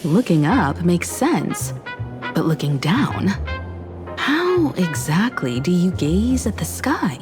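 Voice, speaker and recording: A woman voicing a composed, wistful young woman in a game story, talking in a measured, reflective way. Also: lowish voice, sing-song, music in the background. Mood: thoughtful. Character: composed, wistful young woman, former scrappy martial artist student. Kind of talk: scripted game story dialogue.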